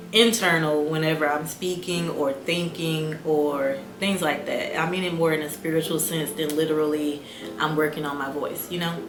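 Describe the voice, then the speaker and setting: Young woman vlogger, calm and relaxed delivery, low voice, echoey room over background music. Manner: calm, soft-spoken, reflective. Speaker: YouTuber. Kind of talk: casual reflective vlog.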